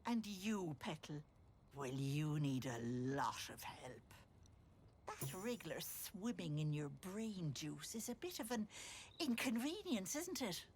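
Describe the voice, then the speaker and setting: A woman's voice: fairly low pitch, big pitch swings, slow pace, darkish tone, a little hiss or hum. A woman voicing a sly old hag who poses as a doting auntie in a video game, with an Irish accent and sugary endearments edged with menace. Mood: cloying, sly. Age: older. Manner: cloying endearments turning to menace. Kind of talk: theatrical video game acting.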